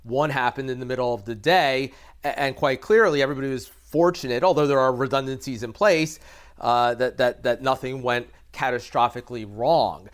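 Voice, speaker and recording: An American man speaking as an expert guest in an interview, explaining haltingly with repeated words and careful qualifications. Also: medium pitch. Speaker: expert guest, aviation reporter. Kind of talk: conversational interview analysis.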